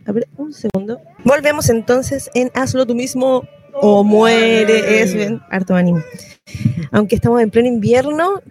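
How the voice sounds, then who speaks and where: A Chilean woman speaks as a radio host in a casual, slangy interview style. Her voice sits at a medium pitch, with music playing behind her. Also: a little mellow.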